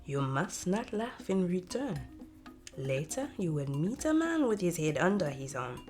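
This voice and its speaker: A woman voicing a mysterious, calm old woman giving measured counsel in a storybook. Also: on the low side, soft background music. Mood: calm, cautionary. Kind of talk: storybook character voice.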